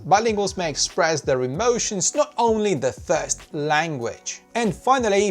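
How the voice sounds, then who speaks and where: A man, explaining to camera in a home studio with animated emphasis. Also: fairly high pitch. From Cyprus. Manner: animated, gesturing, rising emphasis on key words. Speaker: educational YouTuber. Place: small decorated home studio, indoors.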